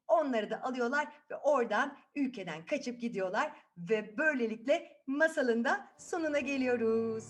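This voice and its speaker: Animated, high-voiced woman telling children's tales. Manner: playful, chatty, checks in with listeners. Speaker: children's storyteller, host. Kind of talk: animated fairy tale narration. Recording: music behind the voice. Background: music.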